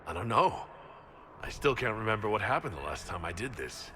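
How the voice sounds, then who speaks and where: A man's voice: on the low side, sing-song, a little fast, bright, forceful tone, slight background noise. A man voicing a resolute, sceptical party leader in a fantasy game, direct and questioning. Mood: uncertain. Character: resolute, sceptical male hero leading the party.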